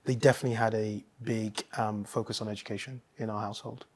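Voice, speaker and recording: A man from Nigeria, a guest in a casual interview, talking thoughtfully with many pauses and restarts. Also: lowish voice, level tone.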